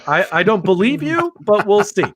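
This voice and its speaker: Animated man hosting a call-in livestream. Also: high voice, moderate pace, a little hiss or hum. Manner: effusive, chummy with callers. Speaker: host of a live show. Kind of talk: casual livestream hosting with callers.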